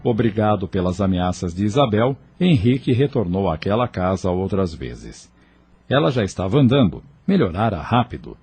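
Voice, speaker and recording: Deep, husky male narrator. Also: warm tone. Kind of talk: scripted narration.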